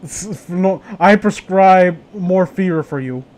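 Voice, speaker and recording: A man's voice: high voice, measured pace, a little mellow. A man hosting a casual read-along with friends, joking in a crude, teasing way. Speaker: host reading horror stories aloud with friends.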